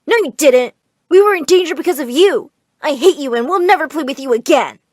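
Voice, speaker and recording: Woman doing a high, whining, aggrieved boy. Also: expressive, brisk, no echo, clean studio sound. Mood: resentful. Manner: whining, complaining. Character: protesting, exasperated boys, the aggrieved brothers.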